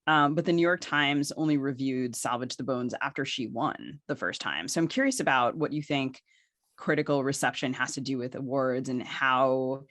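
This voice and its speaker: Podcast co-host asking long questions. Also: female voice, very deep voice, moderate pace, fairly bright tone, no echo, clean studio sound. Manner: framing long, careful questions. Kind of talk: podcast hosting.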